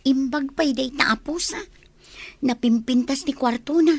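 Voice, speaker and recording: A woman playing a chatty, wide-eyed housemaid in a radio comedy drama, with an expressive, admiring manner. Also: high voice, normal speed, dry recording.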